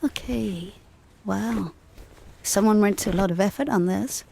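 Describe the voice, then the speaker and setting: Casting: keen costume-maker, soft mellow tone with plenty of vocal fry, chatty, informal. Audio drama. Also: female voice, mid-range voice, measured pace, slight background noise. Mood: absorbed. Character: friendly, geeky costume seamstress, keen on period detail. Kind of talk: naturalistic audio drama acting.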